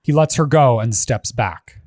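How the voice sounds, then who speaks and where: A man's voice: medium pitch, lively intonation, unhurried, darkish tone, no room sound, studio quality. A man giving scripted narration, reading a screenplay's stage directions as the narrator of a table read.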